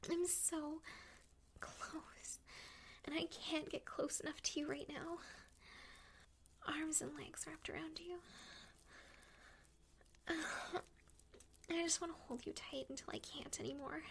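A woman speaking in an intimate, affectionate roleplay style to a partner, breathy and often dropping into a whisper. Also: high voice, steady tone, takes it slowly, pauses often. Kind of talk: intimate erotic audio roleplay, addressing a partner.

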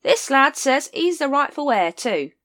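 A woman's voice: high-pitched, big pitch swings, measured pace, a bit bright, pitched above the performer's own voice, heavily acted. A woman voicing a whining, wheedling street sausage vendor with pushy salesman patter.

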